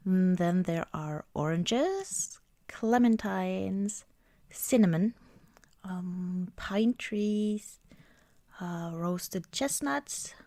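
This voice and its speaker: A woman doing a soft-spoken ASMR girlfriend roleplay, gentle and often slipping toward a whisper, close to the microphone. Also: on the low side, animated, extremely slow, dry recording. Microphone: close microphone, dry sound.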